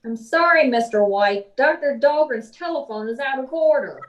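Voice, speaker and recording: A woman's voice: high-pitched, expressive, moderate pace, far from the mic, highs cut off. A woman playing a polite switchboard operator in an old-time radio drama. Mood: brisk, apologetic.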